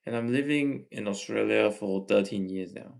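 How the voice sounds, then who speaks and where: A young man from Malaysia hosting a casual livestream, reading viewer comments and giving earnest advice in a slow, halting way. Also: on the low side, vocal fry, a little mellow. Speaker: livestream host.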